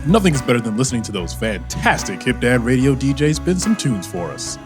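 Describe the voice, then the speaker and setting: A man's voice: medium pitch, big pitch swings, fairly quick. A man narrating like an old-time radio serial announcer, theatrical and building suspense. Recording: music under the voice.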